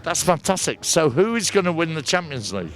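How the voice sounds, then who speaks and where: A man's voice: on the high side, sing-song, a little fast, a bit bright. A man with a Northern English accent doing jokey, bantering street interviews as a host at an outdoor market. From northern England.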